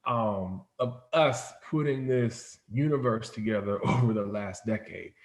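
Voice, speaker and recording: A man's voice: lowish voice, unhurried. Man chatting casually in an echoey room. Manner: informal, rambling storytelling with frequent "like". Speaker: interview guest, comics company founder. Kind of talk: casual interview chat.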